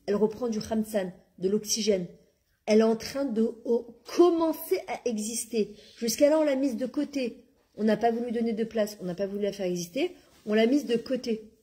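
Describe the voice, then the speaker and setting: A woman's voice: fairly high pitch, normal speed. Emphatic woman giving a religious class. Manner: animated, emphatic, exclaiming. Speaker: religious teacher.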